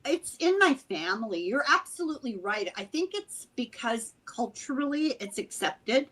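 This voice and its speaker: An older woman, born in Ireland and raised in America, talks as a guest on a video call. Her voice is bright and a little high, and she tells family stories in an animated, chatty way. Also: moderate pace, rough recording. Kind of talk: casual chat.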